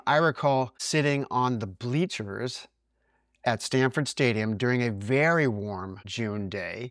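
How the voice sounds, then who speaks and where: A man in his sixties from the United States hosting his own podcast, reading reflective narration in an earnest, measured way. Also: mid-range voice, no echo, clean studio sound. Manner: earnest, measured, reads from a script. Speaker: podcast host.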